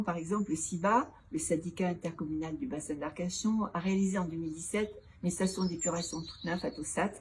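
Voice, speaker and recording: Woman, low-pitched voice, local elected official, formal prepared answers, didactic and structured, noticeable background noise. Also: a little mellow. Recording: clearly noisy background ambience.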